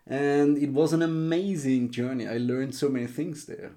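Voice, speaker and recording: A man's voice: mid-range voice, steady tone, normal speed, dark tone. A man from western Austria, a native German speaker, telling his story as an interview guest, hesitant and searching for words. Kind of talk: casual interview chat.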